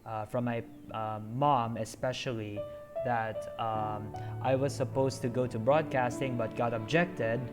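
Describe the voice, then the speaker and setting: A man's voice: mid-range voice, steady tone, unhurried, music in the background. A man streaming a story game, chatting casually and rambling with many hesitant fillers.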